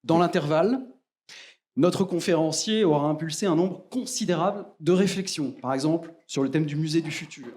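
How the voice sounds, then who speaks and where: A man with a fairly high voice presents the evening's speaker in French. He reads a formal introduction and often pauses on filler sounds. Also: a little mellow.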